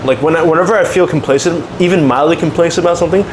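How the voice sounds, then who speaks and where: A man's voice: fairly high pitch, very fast. A man in his twenties from Canada, hosting a casual podcast chat, hesitant and full of fillers, laughing often. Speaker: podcast host. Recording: noisy room with air conditioning hum.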